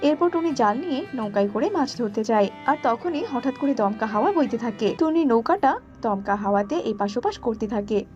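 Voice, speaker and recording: A woman giving scripted narration for an animated children's story. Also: fairly high pitch, normal speed. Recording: slightly dull audio with background music.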